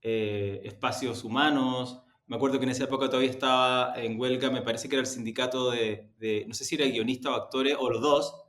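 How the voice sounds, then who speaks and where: A man's voice: mid-range voice, fairly even intonation, a little fast, hardly any pauses, a bit bright. A man giving conversational interview answers, explaining things in a hesitant way as he searches for words. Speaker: media executive, interview guest. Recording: roomy, echoey sound.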